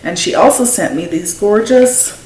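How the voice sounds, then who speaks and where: A woman's voice: mid-range voice, expressive, normal speed, a little mellow. A middle-aged woman from Newfoundland with a Newfoundland accent, hosting a knitting podcast and chatting enthusiastically as she shows off craft supplies at home. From Newfoundland and Labrador, Canada. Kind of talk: casual show-and-tell chat. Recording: echoey room with faint noise.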